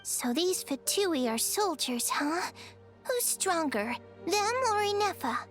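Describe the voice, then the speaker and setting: Game role: little excitable companion, very high-pitched, blunt, inquisitive, animated. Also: female voice, takes it slowly, faint music behind, exaggerated voice. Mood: curious. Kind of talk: animated video game voice acting.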